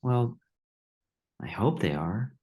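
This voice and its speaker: A man's voice: mid-range voice, animated, slow, dark tone, husky. A man playing a naive, hopeful mouse friend in an audio drama, speaking plainly and a little hesitantly. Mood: doubtful, hopeful.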